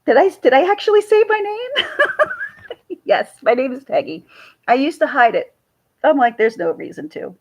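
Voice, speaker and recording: A woman with a raspy voice chatting casually to camera on her vlog, rambling and laughing often. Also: sits high, very animated, normal speed, pauses often. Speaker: YouTube commentator.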